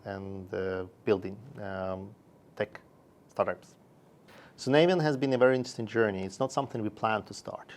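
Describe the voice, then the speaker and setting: Low-voiced man. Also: gravelly, slight background noise. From born in Russia, raised in Sweden. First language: Russian. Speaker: company founder and investor. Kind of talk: interview monologue about own career.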